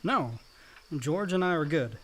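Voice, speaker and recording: A man's voice: fairly high pitch, expressive, measured pace, slight background noise. A man acting an earnest, dutiful young adult son, the family peacemaker, in a naturalistic radio play. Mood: pained, confessional.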